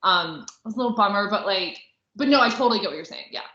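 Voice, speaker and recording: A woman with a bright, forward voice chats in a casual podcast style. She is informal and a bit hesitant, circling toward her point. The recording sounds roomy and echoey. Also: medium pitch, expressive, quick pace. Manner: informal, hesitant, heavy use of "like". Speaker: podcast co-host reviewing a film. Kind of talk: casual conversational discussion. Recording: roomy, echoey, slightly dull lo-fi audio.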